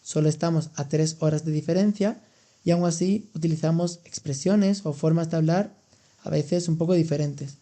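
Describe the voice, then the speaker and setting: Mellow-voiced man explaining. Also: fairly high pitch. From Andalusia, Spain. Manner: explains patiently with examples, laughs easily. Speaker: podcast host and language teacher. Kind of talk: casual educational chat.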